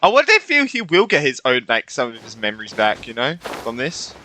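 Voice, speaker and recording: A man streaming a video game with casual commentary, thinking aloud in a halting way and repeating words. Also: medium pitch, huge pitch swings, sharp tone. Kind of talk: casual live game commentary and reading along.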